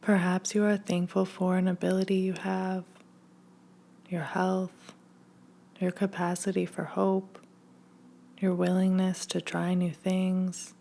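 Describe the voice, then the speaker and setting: A woman leading a scripted guided meditation in a gentle, hushed, soothing manner, leaving long pauses. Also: lowish voice, monotone, creaky.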